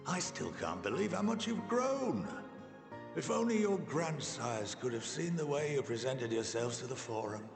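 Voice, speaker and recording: Avuncular old scholar, fond and wry, theatrical, very lively intonation, game cutscene, long hall reverb. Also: male voice, medium pitch, normal speed. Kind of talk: video game cutscene dialogue. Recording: reverberant hall, music behind. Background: game music.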